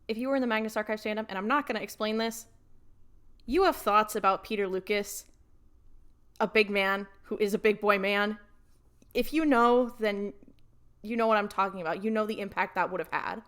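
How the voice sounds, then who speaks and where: A woman's voice: mid-range voice, normal speed, fairly bright tone. Young woman in casual podcast debate. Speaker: podcast panelist.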